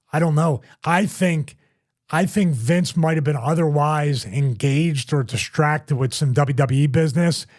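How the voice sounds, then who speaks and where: A man's voice: fairly high pitch, darkish tone. A middle-aged man hosting a casual podcast, telling long rambling stories with restarts and repeats. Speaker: host, former wrestler.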